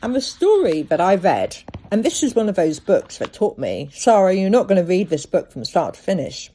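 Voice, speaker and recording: A woman hosting a solo podcast, telling things reflectively with deliberate, dramatic phrasing. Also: lowish voice, big pitch swings, dark tone. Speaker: podcast host.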